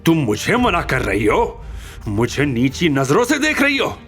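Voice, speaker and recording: A man's voice: a little high, wildly swooping pitch, a little slow, fairly bright tone, voice pushed up, heavily acted. Man playing a lecherous rich villain, swooping delivery. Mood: offended, menacing. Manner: leering, condescending, short-tempered. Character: rich, lecherous male villain, arrogant and menacing. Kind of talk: Hindi audio drama dialogue. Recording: faint music behind.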